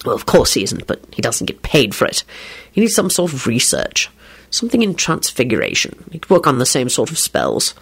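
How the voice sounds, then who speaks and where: A woman's voice: rumbling low, very animated, moderate pace, creaky, breathy edge, voice pushed down, full character voice. A woman voicing a weary, kindly, melancholy middle-aged man in an audiobook, with a wry, muttering manner. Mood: grumbling.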